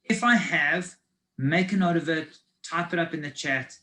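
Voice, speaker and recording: A man with a South African accent giving a live online presentation on business finance. He explains in a conversational way and fills pauses with ums and restarts. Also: on the high side, bright, forceful tone.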